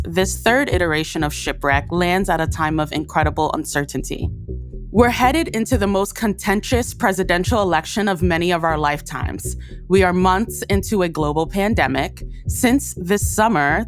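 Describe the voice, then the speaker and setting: A woman hosting an audio documentary with scripted narration. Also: on the low side.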